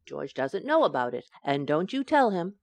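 Flippant young sitcom housewife, played by an actress: slightly low, very lively pitch, breezy wisecracks. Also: female voice, moderate pace, vocal fry, no echo, heavily acted. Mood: cheerful, matter-of-fact. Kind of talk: radio sitcom acting.

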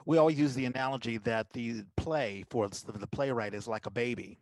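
A man's voice: medium pitch, a little fast, a little hiss or hum. A man hosting a podcast interview in a casual, conversational style.

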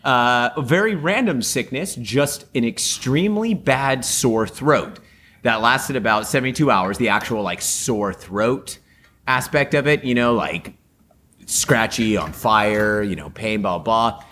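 A man's voice: medium pitch, expressive, unhurried, a bit bright, a little hiss or hum. A man co-hosting a podcast in casual chat, upbeat and rambling with lots of fillers, his voice still a little off after a sore throat. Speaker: podcast co-host.

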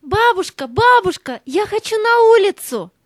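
A woman's voice: extremely high pitch, very animated, normal speed, dry recording, clean studio sound. A woman playing an eager, tender little girl in an audio fairy-tale play, wide-eyed and emphatic. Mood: yearning, excited.

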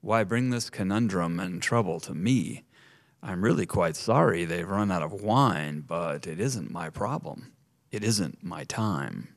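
A man voicing a gentle, mild-mannered grown son in a rhyming story, speaking quietly and slowly. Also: low voice, dry recording, clean studio sound. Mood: reluctant. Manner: gentle, unhurried, soft.

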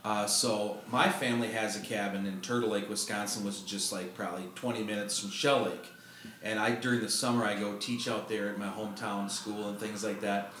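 A man's voice: fairly low pitch, little pitch movement, brisk, fairly bright tone. A man hosting a music podcast, asking questions and teasing his guest in a friendly way. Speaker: podcast host. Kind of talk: casual interview. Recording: roomy room, faint noise.

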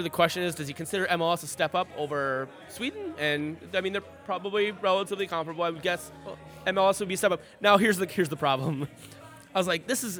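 A man's voice: sits high, sharp tone. A man co-hosting a sports podcast, rambling casually with lots of fillers, restarts and swearing. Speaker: podcast co-host.

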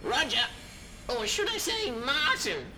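A man's voice: extremely high pitch, wildly swooping pitch, a little slow, a crowd in the background, full character voice. A man voicing a cheeky, flippant computer sidekick who bores easily, in a radio drama. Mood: cheeky, bored. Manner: flippant, teasing.

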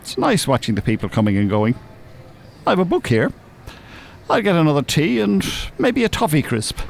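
Older Irish male for radio drama: dry, teasing, wordy, animated pitch, light ambience behind. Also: normal speed, creaky, slight background noise, exaggerated voice. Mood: amiable. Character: dry, droll, mock-pious old married man. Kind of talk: naturalistic radio drama acting.

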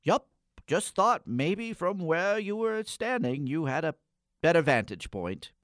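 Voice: mid-range voice, very animated, unhurried, no room sound, studio quality. A performer playing a morose, exasperated boy in a comic radio play, with theatrical, old-fashioned phrasing. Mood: awkward, sarcastic. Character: Eddie Poe, morose, exasperated boy in a spooky parody.

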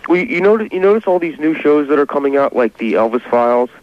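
A man's voice: on the high side, animated, quick pace, no echo. A man who makes prank calls, chatting casually with a radio show over a telephone. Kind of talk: casual phone chat. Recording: dull, band-limited phone line, dry.

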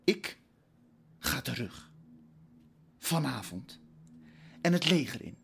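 An actor playing an earnest middle-aged father who is leaving to fight in a war, speaking haltingly and solemnly in an audio drama. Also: medium pitch, big pitch swings, creaky, a little hiss or hum, heavily acted. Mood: solemn, resolute. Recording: loud music bed under voice.

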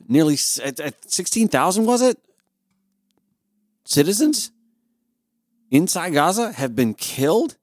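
A man's voice: a little high, dry recording, studio quality. A man from Georgia with an American accent, hosting a talk radio show in an emphatic, informal, conversational monologue. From Georgia, United States.

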